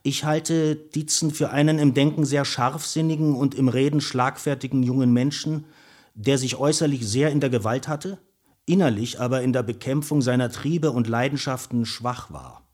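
Actor doing a stern male doctor in a radio play, reads out reports all dry and official, medium pitch. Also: normal speed, a little mellow, lightly acted. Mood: clinical. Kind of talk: scripted radio play, letter reading.

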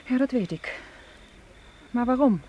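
A woman's voice: on the high side, lively intonation, a little slow, dull audio. An actress playing an anxious, impulsive young woman in a radio drama, speaking in an earnest, defensive way. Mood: startled, defensive. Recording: clear noise, outdoor night insect ambience. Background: chirping crickets.

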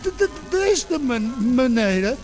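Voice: sits high, very animated, slow pace, darkish tone, full character voice. A student actor playing a flustered official who stammers constantly, in a radio drama with music behind. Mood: anxious.

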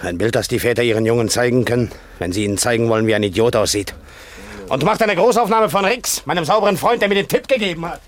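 A man's voice: medium pitch, fast, natural voice. An actor playing a cold-blooded, mocking gangland hitman in a crime radio play, curt and menacing. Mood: bitter sneering.

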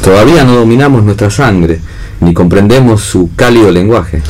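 Man acting, jealous lovesick lover, lowish pitch, proud, theatrical radio play reading. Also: moderate pace, a little mellow, close to an everyday voice, low-quality recording. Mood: earnest, declaiming.

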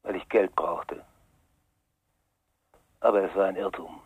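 An enigmatic caller in a radio crime drama. His very deep, husky voice is coolly evasive and musing, recorded close and dry. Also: fairly even intonation, unhurried, dry recording, dull audio, heavily acted. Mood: cryptic, evasive. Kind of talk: 1990s German radio crime drama acting.

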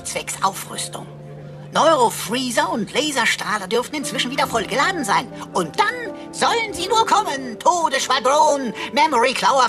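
A talking computer with a high, very animated delivery. It is a cheeky, loyal sidekick that chatters and mocks in mock-grand phrases. Music plays behind it, as in a sci-fi radio play. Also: female voice. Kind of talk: German radio drama acting.

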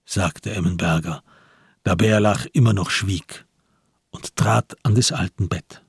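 Casting: male radio drama narrator, lowish and heavily husky, grave and measured, reading in sparse phrases. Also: no room sound, clean studio sound.

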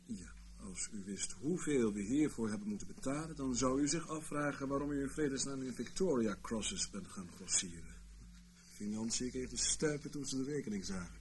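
An actor playing a dry, wry senior British intelligence chief with droll bureaucratic understatement in a Dutch radio play. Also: male voice, mid-range voice, animated, normal speed, a little mellow, slight background noise, close to an everyday voice.

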